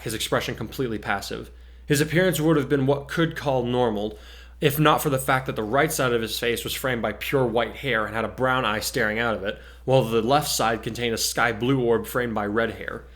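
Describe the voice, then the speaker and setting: A man's voice: medium pitch, fairly quick, fairly bright tone. A man with a mid-range voice, co-host and author. He talks casually and narrates his story as a dramatic reading. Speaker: co-host and fan fiction author.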